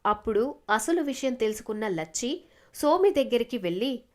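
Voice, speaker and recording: Woman storyteller with a slightly high voice. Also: lively intonation, moderate pace. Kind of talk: scripted moral story narration.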